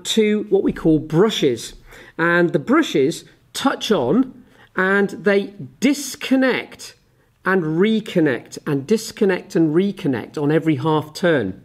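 Male teacher, forties, slightly high-pitched voice, explains a hands-on demonstration in orderly steps. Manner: methodical, step-by-step explaining. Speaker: physics teacher. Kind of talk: explanatory lesson with live demonstration. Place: indoors, small office at a desk.

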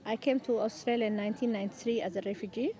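A woman from Eritrea, a former refugee, speaking thoughtfully in an interview with many pauses and fillers. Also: a little high, fairly even intonation, dark tone. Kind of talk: interview testimony.

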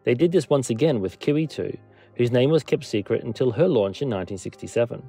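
A man's voice: medium pitch, expressive, fast, mellow, breathy edge. A man presenting a scripted history documentary as a narrator and lecturer. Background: background music.

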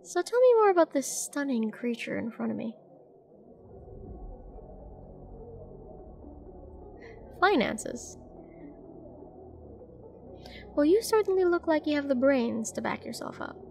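A woman's voice: sits high, very animated, brisk, gravelly, dark tone, slight background noise, full character voice. A woman playing a confident, flirty and dangerous mercenary in a nightclub roleplay audio drama, teasing and commanding as she addresses the listener. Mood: seductive, assured.

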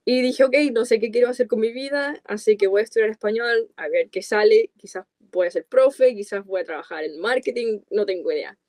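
A woman's voice: on the high side, a little fast, hardly any pauses, a little mellow, no echo. A woman in her twenties from California, a native English speaker, chatting casually and colloquially as an interview guest. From United States, California. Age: 20s. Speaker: podcast guest, content creator. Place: small dark room with coloured lights, video call.

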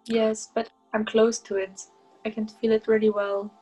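A young woman answering quietly and briefly in a video call session. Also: a little high, monotone, normal speed, soft background music, poor audio. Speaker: client.

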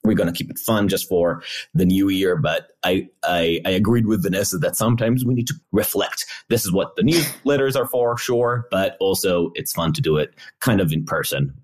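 A man with a raspy, underslept voice, chatting casually and wryly as a podcast co-host, wandering into digressions. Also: low voice, moderate pace. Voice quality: gravelly, raspy. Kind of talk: casual reflective podcast chat.

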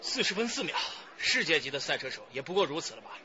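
An actor playing a cocky, eager young police driver in a radio drama. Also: male voice, a little high, very animated, fast talker, bright, forceful tone, lo-fi sound, some chatter behind, a little hiss or hum, heavily acted. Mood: eager, excited.

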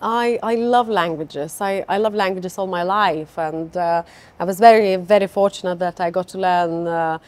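A woman's voice: on the low side, moderate pace, darkish tone. A middle-aged woman, a company founder speaking enthusiastically in an interview, often repeating words for emphasis. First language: Lithuanian. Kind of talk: conversational interview. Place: bright open office lounge with large windows.

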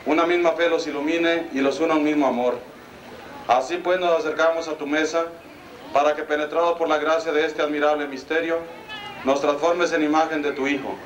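A man with a Mexican Spanish accent, a priest reciting the prayers of the mass in a solemn, measured cadence, recorded by an amateur camcorder among the congregation. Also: on the high side, echoey room, slight background noise, rough recording. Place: outdoor altar under a canopy.

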